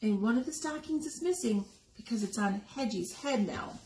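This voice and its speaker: High, animated woman reading a picture book. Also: normal speed. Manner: expressive, explaining, asking the listener questions. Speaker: story time reader. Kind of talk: picture-book read-aloud for young children, with asides on the pictures. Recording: echoey room with background noise.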